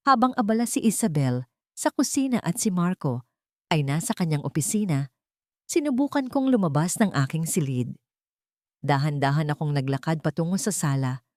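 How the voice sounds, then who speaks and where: A woman's voice: low-pitched, no echo, studio quality. A woman reading a scripted first-person dramatic story as its narrator.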